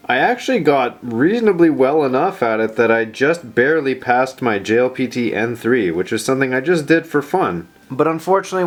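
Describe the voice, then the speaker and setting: A man narrating a personal vlog in an informal, unscripted, self-deprecating way, rambling as he goes. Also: mid-range voice. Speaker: video host.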